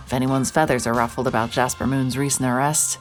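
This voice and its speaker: A woman's voice: very deep voice, steady tone, brisk, soft background music. A woman voicing a hard-hearted private investigator, narrating in a dry, hard-boiled inner monologue. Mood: guarded. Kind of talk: noir first-person narration.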